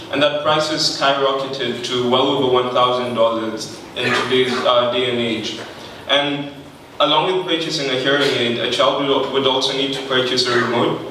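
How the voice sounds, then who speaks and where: A young man from Kenya with a Kenyan accent, whose first language is not English, giving an earnest, measured pitch at a podium. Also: bright, forceful tone, a little hiss or hum. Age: young adult. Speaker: student pitching an innovation. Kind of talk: prepared pitch speech. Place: large bright indoor hall at a podium. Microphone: podium microphone, recorded from far off. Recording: echoey hall from far off.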